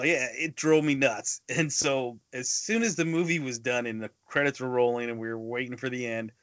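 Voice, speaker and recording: Male podcast co-host, casual anecdotal storyteller, mid-pitched voice, dry but slightly lo-fi recording. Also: fairly bright tone, no echo. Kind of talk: casual movie review chat. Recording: dull lo-fi podcast audio.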